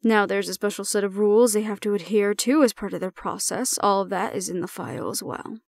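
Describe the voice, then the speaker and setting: A woman's voice: mid-range voice, brisk, creaky, no room sound. A woman plays a composed female official in an audio drama, close and dry. She speaks formally and diplomatically, measuring out each phrase as someone in charge of difficult charges. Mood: composed, reassuring. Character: calm, formal female supervisor handing over a hybrid prisoner. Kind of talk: audio roleplay acting.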